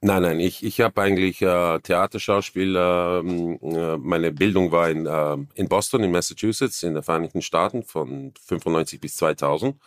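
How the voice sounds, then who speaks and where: A man with a slight Viennese accent, an actor guest in a casual interview, talking haltingly and searching for words, often self-deprecating. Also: deep voice, no echo, studio quality.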